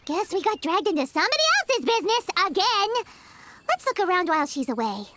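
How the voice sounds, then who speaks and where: Tiny squeaky game fairy sidekick, super high and swooping, indignant, loud noise behind. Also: female voice, big pitch swings. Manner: indignant, quick to protest. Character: tiny floating fairy-like female companion, excitable.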